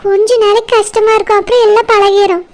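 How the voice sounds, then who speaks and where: Very high-voiced woman voicing a village cartoon. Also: level tone, fast, a little mellow. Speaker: cartoon narrator and voice actor.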